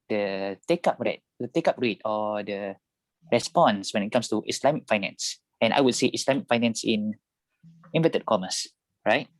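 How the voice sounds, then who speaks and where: A man's voice: lowish voice, moderate pace. A man in casual conversation on a video call, chatty and laughing at his own remarks as he presents.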